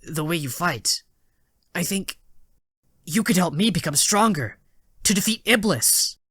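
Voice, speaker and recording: A youthful hedgehog hero put on by a man, pitched up into a light register and recorded dry and close. He is earnest, eager and a little awkward, in fan audio story style. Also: expressive, a little slow, fairly bright tone, breathy edge, no echo, exaggerated voice. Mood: earnest, hopeful.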